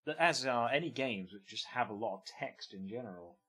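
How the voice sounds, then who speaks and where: A man's voice: medium pitch, animated, brisk, fairly bright tone, husky, faint music behind. A man streaming and commenting casually on games, with offhand, meandering remarks. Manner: offhand, meandering, muttering asides.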